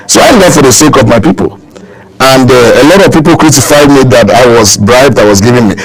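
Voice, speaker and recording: Creaky-voiced, emphatic Cameroonian man. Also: mid-range voice, lively intonation, brisk, low-quality recording. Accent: Cameroonian English. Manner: emphatic, oratorical, repeats phrases for effect. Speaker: political activist interviewed as a guest. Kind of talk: broadcast political interview.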